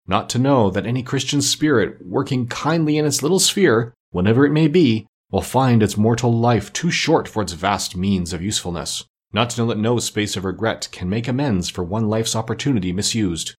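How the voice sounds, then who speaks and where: A man's voice: lowish voice, husky. A man voicing a tormented, solemn ghost of a dead businessman in a storybook reading, with mournful, grave, declamatory delivery.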